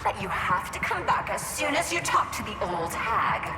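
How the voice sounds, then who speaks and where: A woman's voice: mid-range voice, very animated, moderate pace, lightly acted. A woman playing an obsessive, seductive female robot in a video game, cooing pet names with a menacing clinginess. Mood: teasing, possessive. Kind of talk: video game character acting. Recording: echoey reverberant hall, music behind.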